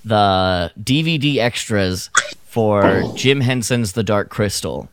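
A man chatting casually on a fan podcast, rambling through his thoughts in an animated way. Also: lowish voice, slow pace, clean tone, dry recording, studio quality. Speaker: podcast panelist. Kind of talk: casual film-fan chat.

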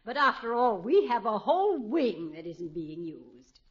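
A woman's voice: fairly high pitch, big pitch swings, measured pace. A woman playing a bossy, genteel, meddling older mother-in-law in a 1950s radio comedy, insistent and primly polite. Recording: muffled old radio recording.

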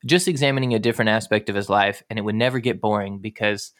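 A man's voice: lowish voice, quick pace, a bit bright. A man co-hosting a podcast in casual chat, rambling and rephrasing as he goes. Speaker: podcast co-host.

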